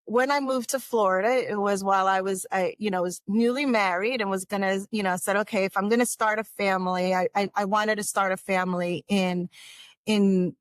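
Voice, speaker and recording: A woman's voice: mid-range voice, steady tone, normal speed, dry recording. Middle-aged new york woman chatting as a guest, lots of restarts, dry close sound. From New York, United States. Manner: rambling with many restarts and laughs. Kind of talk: casual interview talk.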